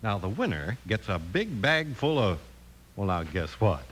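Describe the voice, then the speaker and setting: A man's voice: lowish voice, big pitch swings, unhurried, a bit bright, a little hiss or hum. A man playing a hearty, emphatic announcer and cowboy pal in old-time radio, with an American accent. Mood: excited, jolly.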